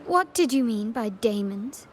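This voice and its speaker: An actress voicing a composed, analytical young noblewoman in a video game, with measured, precise and formal phrasing. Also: a little high, animated, a little hiss or hum. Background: music.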